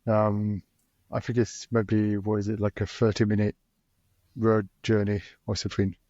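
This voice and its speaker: A man's voice: lowish voice, little pitch movement, normal speed, mellow, no echo. Man, conference organizer, hesitant, stammers, dry, muffled lo-fi sound. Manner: hesitant, restarts a lot. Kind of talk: casual podcast chat.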